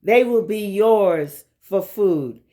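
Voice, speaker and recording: Female scripture reader, mid-range pitch, unhurried pace, solemn and measured phrasing. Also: expressive, warm tone. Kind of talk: measured scripted Bible reading.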